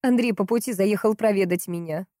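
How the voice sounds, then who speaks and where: A woman's voice: medium pitch, warm tone, no echo, studio quality, voice pushed up, lightly acted. A woman voicing a hot-tempered, grieving sister in a crime audiobook, emphatic and impatient. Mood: agitated, impatient. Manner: emphatic, impatient, drawn-out stresses.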